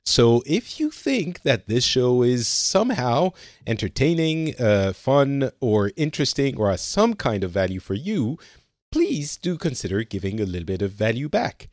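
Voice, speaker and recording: Chatty French man hosting, lively pitch. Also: normal speed, no room sound, clean studio sound. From France. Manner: rambling, many fillers and restarts. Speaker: podcast host. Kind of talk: casual chat.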